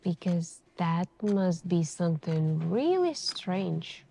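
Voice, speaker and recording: A woman doing an ASMR roleplay as a suspicious female detective, questioning in a deliberate, pointed way with many pauses. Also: fairly low pitch, sing-song, vocal fry, warm tone, a little hiss or hum. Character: calm, suspicious, probing female police detective. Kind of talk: ASMR interrogation roleplay, slow deliberate delivery.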